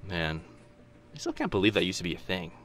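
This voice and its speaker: Man acting as low-voiced teasing young telepath boyfriend. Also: wildly swooping pitch, brisk, slight background noise, heavily acted. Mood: friendly, awkward. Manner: hesitant, chatty, soft asides. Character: teasing, kind-hearted young male telepath at a laundromat. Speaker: voice actor in audio roleplay. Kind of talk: boyfriend ASMR roleplay.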